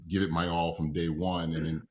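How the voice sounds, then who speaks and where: A man telling a casual anecdote haltingly on a video call, peppering it with "like". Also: low-pitched, little pitch movement, a little mellow, a little hiss or hum. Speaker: actor, cast member.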